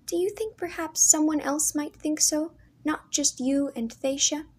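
A woman voicing a timid, gentle young girl who speaks high and breathless. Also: steady tone, unhurried. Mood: shy.

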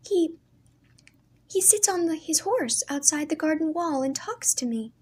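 A woman voicing a timid, gentle young girl who speaks high and breathless. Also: expressive, unhurried. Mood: shy.

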